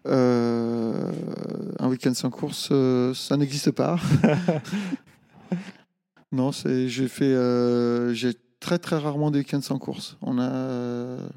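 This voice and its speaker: A middle-aged man from Italy, a native Italian speaker, answering casually in an interview with halting, hesitant phrasing. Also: mid-range voice, fairly even intonation, gravelly, dark tone, clean tone, long reverb tail. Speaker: interview guest, ultra-trail runner.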